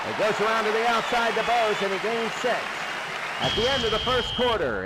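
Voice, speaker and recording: An American man calling a football game play by play as a live radio announcer. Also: high voice. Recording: noisy game broadcast with crowd roar. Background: loud stadium crowd.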